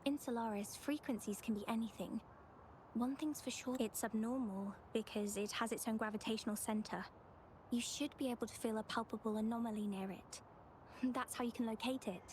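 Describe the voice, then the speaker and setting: A woman voice-acting a caring, dutiful young woman guide in a video game. Her voice is on the high side, composed and reassuring, with faint ambience behind it. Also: steady tone, darkish tone, slight background noise, natural voice. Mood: reassuring, explanatory. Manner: measured, reassuring.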